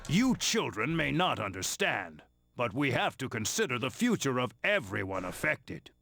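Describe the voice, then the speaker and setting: An actor playing an evasive, self-serving male high school teacher in a game dub, defensive and prone to stammering. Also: sing-song, normal speed, creaky, pushed and bright, exaggerated voice.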